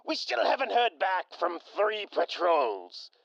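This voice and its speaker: A man's voice: high-pitched, big pitch swings, slow pace, vocal fry, fairly bright tone, no room sound, exaggerated voice. A man playing a foul-mouthed, wary raider lieutenant who swears and argues hotly, in dull lo-fi sound. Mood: grumbling.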